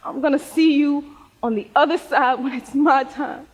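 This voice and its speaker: A woman playing a grieving, vulnerable young student devoted to her grandmother, in a stage play, with a husky voice. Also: high-pitched, steady tone, normal speed, natural voice. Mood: grieving, tender. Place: theater stage in a reverberant hall. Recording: muffled echoey stage hall recording.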